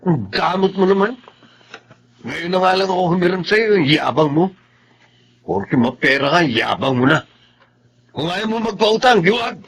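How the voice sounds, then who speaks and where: Whiny Filipino gambler, high animated voice, sulky, pleading, petulant, radio drama style. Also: male voice, normal speed, pauses often, breathy edge, heavily acted. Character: whiny, sulky gambler older brother begging for money.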